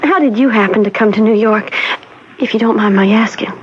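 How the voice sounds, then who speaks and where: An actress plays an innocent, confused young woman in a vintage radio drama. She is tentative, polite and sympathetic, with a medium-pitched female voice. The broadcast is muffled with a light layer of noise. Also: brisk, natural voice. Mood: tentative, curious. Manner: soft-hearted, tentative, polite. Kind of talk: old-time radio drama acting.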